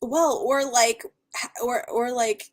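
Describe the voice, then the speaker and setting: A woman's voice: a little high, steady tone, takes it slowly. Exasperated woman in casual chat. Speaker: book readalong live chat co-host. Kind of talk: casual chat, book discussion.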